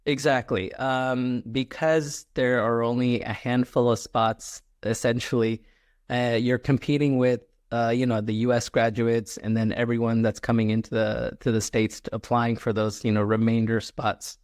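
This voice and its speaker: A man's voice: mid-range voice, no room sound. Guy from malaysia telling his story, lots of ums, dry close mic. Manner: relaxed, halting, many ums and uhs. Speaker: guest, clinical scientist. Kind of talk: interview answers.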